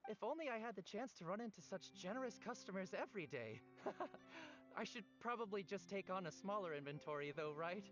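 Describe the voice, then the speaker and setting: Flustered young vendor, actress, rambling and polite, muffled game mix. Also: female voice, medium pitch, very animated, fairly quick, close to an everyday voice. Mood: polite nervous. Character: flustered young newspaper seller woman, about to marry. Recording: dull game audio with music.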